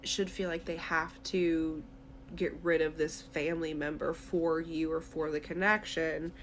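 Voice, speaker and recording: A woman's voice: deep voice, little pitch movement, measured pace, slight background noise. A woman tarot reader speaking directly to the listener in a conversational, reassuring, therapy-minded way during an intuitive reading.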